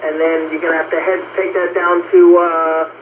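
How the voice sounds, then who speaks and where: High-pitched male dispatcher on tinny radio. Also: level tone, normal speed. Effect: two-way radio. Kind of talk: two-way radio dispatch talk. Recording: narrow, hissy police-scanner radio audio.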